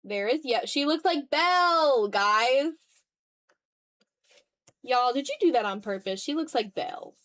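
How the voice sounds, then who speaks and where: A woman's voice: high-pitched, expressive, a little slow, long pauses, a bit bright. A woman chatting casually on a livestream as she draws, slangy and playful, talking half to herself and half to viewers. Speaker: streamer, digital artist. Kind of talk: casual livestream chat while drawing.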